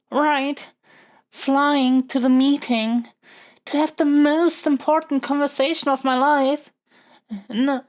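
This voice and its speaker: A woman's voice: high-pitched, a little mellow. A woman playing a lovestruck young princess in a romantic fantasy audio roleplay, speaking in a flustered, stammering, earnest way. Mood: awed, flustered. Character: lovestruck young princess smitten with her dragon kidnapper.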